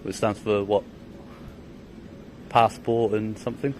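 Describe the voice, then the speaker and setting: A man's voice: on the low side, flat delivery, measured pace, a little mellow, slight background noise. A man with a British accent films officers on a public footpath and questions them persistently about his rights. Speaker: member of the public filming officers. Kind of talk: confrontational street exchange.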